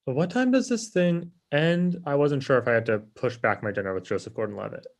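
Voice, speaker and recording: A man's voice: mid-range voice, fairly quick, lightly acted. An actor playing a laid-back, privileged young man who talks casually and self-absorbedly in a comedy table read. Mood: casual, oblivious.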